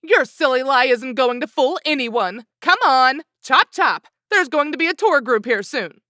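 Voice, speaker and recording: Woman acting as brash anime boss lady, very high sharp voice, swooping intonation, brisk, pushy. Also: expressive, no echo, studio quality, heavily acted. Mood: bossy, hurrying. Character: brash, bossy female motel boss. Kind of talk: anime fan dub acting.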